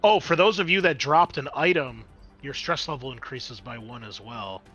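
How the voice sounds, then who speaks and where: A man with a bright voice and mid-range pitch runs a tabletop roleplaying game. He narrates scenes casually and explains the rules in a halting, explanatory manner, with music behind him. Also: lo-fi sound. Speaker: game master.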